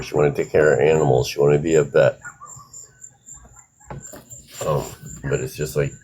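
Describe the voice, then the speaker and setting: A man's voice: extremely low pitch, a little mellow, slight background noise, low-quality recording. A man in his fifties, a father speaking as a guest on a live stream, earnest and reflective. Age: 50s. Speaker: guest, father of the woman discussed. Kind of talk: casual live stream talk.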